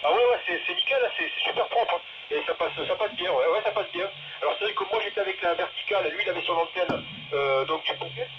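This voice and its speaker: A man's voice: sits high, quick pace. A man in a casual, slangy chat as an amateur radio operator, speaking through a two-way radio. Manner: informal, slangy. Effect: two-way radio transmission. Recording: noisy, muffled two-way radio audio.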